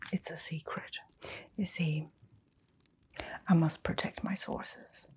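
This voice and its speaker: Slow whispering woman as a mysterious bookshop keeper. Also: sits low, fairly even intonation, husky, exaggerated voice. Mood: secretive, gentle. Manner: slow, hushed, soothing, with long pauses. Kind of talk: whispered storytelling ASMR roleplay.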